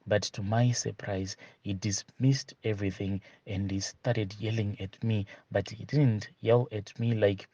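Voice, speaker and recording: A man's voice: lowish voice, steady tone, unhurried, few pauses, warm tone, no room sound. A man hosting a storytelling channel, reading a listener's confession aloud in a plain first-person retelling with repeated asides. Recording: dull lo-fi dry audio.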